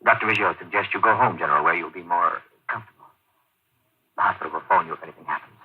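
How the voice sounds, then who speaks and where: An actor playing a steady hospital medic, clinical and matter-of-fact, in a 1950s radio drama. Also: male voice, sits low, fast, breathy edge. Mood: measured, reassuring. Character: brisk male hospital medic, businesslike and steady. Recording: muffled, tinny old radio broadcast.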